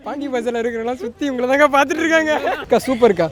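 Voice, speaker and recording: A man's voice: very high voice, very fast, some chatter behind. A man with a Tamil Nadu accent hosts a street show in casual, chatty talk, mixing English words into his Tamil. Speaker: host of a street prank call show. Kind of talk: casual street interview chat. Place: outdoors in a public street.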